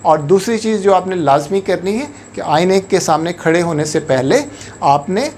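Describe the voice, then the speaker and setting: A man's voice: high-pitched, lively intonation, a little mellow, slight background noise. A man from Pakistan, an English teacher giving a patient instructional talk and switching often into English. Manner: measured, patient explaining, switching often into English words and phrases.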